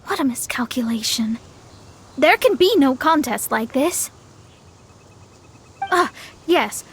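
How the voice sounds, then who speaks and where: A woman's voice: high voice, lively intonation, slow pace, breathy edge, exaggerated voice. A woman playing a proud young female astrologer in a video game, speaking in a haughty, formal, precise and easily exasperated way. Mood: disappointed. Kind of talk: video game character acting.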